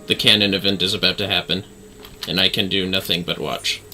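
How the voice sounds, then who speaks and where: A man's voice: sits low, steady tone, brisk, bright, forceful tone. A man livestreaming a game with casual, playful, theatrical commentary. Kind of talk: casual playthrough commentary, reading dialogue aloud playfully. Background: faint game music.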